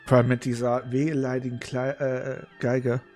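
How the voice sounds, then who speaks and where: Male streamer, average pitch, casual and reflective, explaining over faint music. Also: little pitch movement, normal speed, darkish tone. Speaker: game streamer. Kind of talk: casual let's play commentary.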